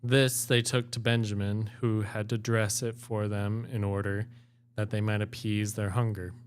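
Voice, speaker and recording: A man's voice: on the low side, little pitch movement, moderate pace, clear, resonant tone. A man reading fairy tales aloud as an amateur co-host, moving between storybook narration and casual chat.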